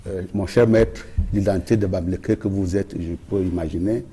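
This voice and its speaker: A man's voice: sits low, fairly even intonation, moderate pace, dark tone, a little hiss or hum. A man from Cameroon, a guest on a political debate panel, pressing his point and repeating phrases for emphasis.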